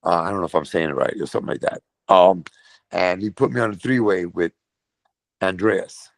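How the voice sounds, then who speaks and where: Gravelly Brooklyn man telling stories. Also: deep voice, fairly quick, dry recording. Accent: New York. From Brooklyn, New York. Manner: profane, animated storytelling with voiced quotes. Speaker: guest, metal vocalist. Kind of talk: casual storytelling.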